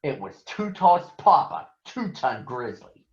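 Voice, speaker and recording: Animated, unhurried man reading a storybook, echoey room. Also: medium pitch, highs cut off. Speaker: storybook reader. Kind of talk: expressive read-aloud of a children's story.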